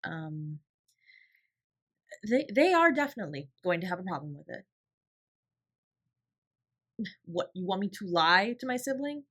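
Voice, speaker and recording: A woman playing a protective, tender older sibling in an intimate audio roleplay, with a soft, breathy, reassuring delivery. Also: lowish voice, big pitch swings, moderate pace, heavily acted. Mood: frank. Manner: gentle, reassuring, lightly teasing.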